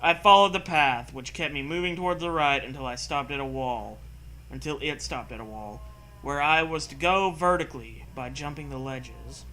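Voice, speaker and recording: A man's voice: fairly high pitch, moderate pace, pushed and bright, poor audio. A man co-hosting a comedic reading of a story, hammy and dramatic, breaking off for casual joking asides. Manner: hammy, breaks off to riff and joke. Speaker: co-host reading a story aloud.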